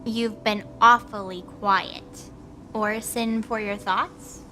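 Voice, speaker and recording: A woman's voice: medium pitch, fairly even intonation, takes it slowly, pushed and bright, slight background noise. A woman playing a brash, teasing, cocky goddess in an audio drama, flippant and slangy. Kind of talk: acted audio drama dialogue.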